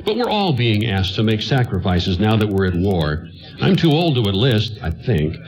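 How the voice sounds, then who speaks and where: A man acting a middle-aged theater owner in a radio comedy play, an earnest salesman who flatters and pleads and gets flustered. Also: lowish voice, moderate pace, soft background music. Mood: apologetic, earnest. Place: stage before an audience.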